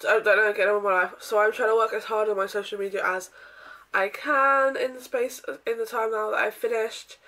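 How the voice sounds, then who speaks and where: A young woman vlogging casually from her bedroom, with a croaky voice from a sore throat, chatting in a rambling way with lots of ums and throat clearing. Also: medium pitch, fairly even intonation, brisk, pushed and bright, rough recording. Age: young adult. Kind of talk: casual day-in-my-life vlog. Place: bedroom, indoors.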